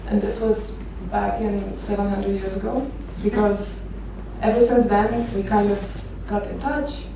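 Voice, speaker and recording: Female presenter from Romania, 30s, relaxed storytelling with hesitant phrasing; captured distantly in a reverberant venue with clear background noise. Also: mid-range voice, measured pace. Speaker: architecture photographer giving a conference talk. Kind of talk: informal presentation with personal stories. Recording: echoey noisy venue, distant microphone.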